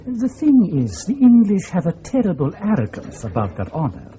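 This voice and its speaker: A dull-sounding radio drama recording with some background noise. a middle-aged Scottish intelligence boss with a mellow, mid-pitched voice. He is blunt and dryly sardonic, making his points sharply. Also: huge pitch swings, moderate pace. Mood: accusing. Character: blunt, wry Scottish male intelligence section leader.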